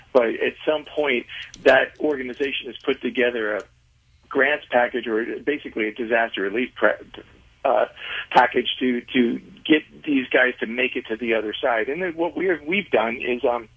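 A man with a husky, rough-edged voice comes through a narrow phone-like line. He speaks as a radio interview guest, earnestly explaining in halting phrases and often doubling back on his words. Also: medium pitch. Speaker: interview guest, independent venue organizer.

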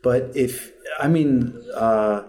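A man interviewing a guest, asking questions with many pauses and fillers. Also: medium pitch, a little mellow.

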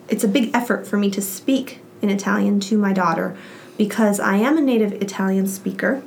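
A woman and mother speaking as a podcast guest, talking in animated bursts with lively emphasis. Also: mid-range voice, a little hiss or hum. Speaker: guest, parent. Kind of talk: casual interview chat.

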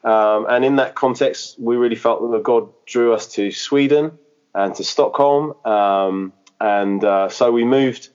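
A man's voice: medium pitch, a little slow, a little mellow, clear, resonant tone, dull audio. A man who planted a church, speaking in a podcast interview, hesitant and full of fillers and restarts. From England.

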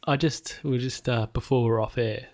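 A man's voice: mid-range voice, steady tone, a little fast, a little mellow. A man co-hosting a podcast while getting over a cold, slightly nasal, chatting casually and laid-back about a film. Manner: laid-back, short agreeing replies. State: stuffy nose from a cold. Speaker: podcast co-host. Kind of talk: casual film review chat.

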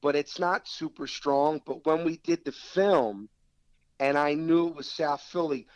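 A man from South Philadelphia with a noticeable local accent, recounting film-set anecdotes in a casual interview. His voice sits a little high for a man and he slips into quoted dialogue as he tells it. The recording is dry and close. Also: measured pace, clean tone, no room sound, highs cut off. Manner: animated anecdote-telling, quoting others in dialogue. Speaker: actor guest.

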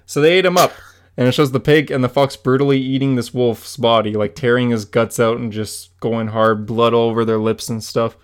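Young guy hosting a comic book podcast, laughing a lot, middle pitch. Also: steady tone, normal speed, slight background noise. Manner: laughs often while summarizing a story. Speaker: podcast co-host.

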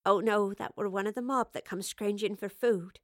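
A woman's voice: mid-range voice, fairly even intonation, moderate pace, no echo, clean studio sound, lightly acted. A woman voicing a weary, kindly working-class old woman with homely, colloquial, wistful speech in an audio story. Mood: homely, resigned.

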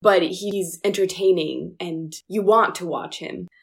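A woman's voice: fairly low pitch, steady tone, a little slow, vocal fry. A woman chatting informally and candidly in a discussion. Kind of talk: casual chat about current affairs.

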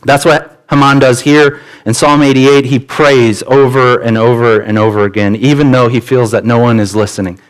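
A man's voice: mid-range voice, steady tone, normal speed, few pauses, clean tone, poor audio. A man preaching a sermon as pastor, earnest and conversational, often restarting his phrases. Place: church.

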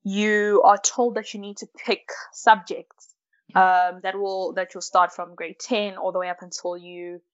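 Young woman, South African accent, casual storytelling, self-deprecating, mid pitch, dull lo-fi recording. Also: little pitch movement, a little slow. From Malawi, grew up in South Africa from about age six. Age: 20s. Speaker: interview guest, science postgraduate student.

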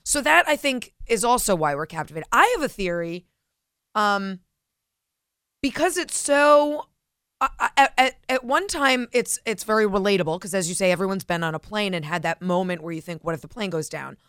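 Smooth-voiced woman co-hosting talk radio. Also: medium pitch, lively intonation, a bit bright, no room sound, clean studio sound. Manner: conversational, quick agreeing interjections. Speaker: radio talk show co-host. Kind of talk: casual talk radio discussion. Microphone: studio microphone.

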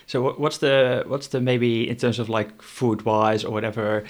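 A man asks interview questions in a relaxed, halting way, repeating words and restarting as he goes. His voice sits at an ordinary middle pitch. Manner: hesitant, repeats words while forming questions.

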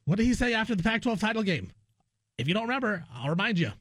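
A man's voice: high-pitched, sing-song, quick pace, a bit bright, no room sound, studio quality. A man hosting a sports talk show, delivering an emphatic, argumentative opinion monologue and repeating phrases for effect. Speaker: sports radio host.

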